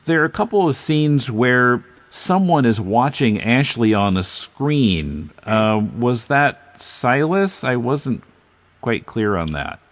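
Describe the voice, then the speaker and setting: A man's voice: medium pitch, sing-song, slow, no echo. A man hosting a casual discussion about books, speaking a little hesitantly and correcting himself as he goes. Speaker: podcast host discussing comics.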